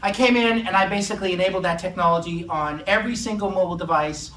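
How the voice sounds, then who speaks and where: A middle-aged man from Massachusetts with a Massachusetts accent, giving a lively, joking conference presentation to a live audience. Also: sits high, quick pace. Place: conference room in an office. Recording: echoey room, distant mic, faint hum.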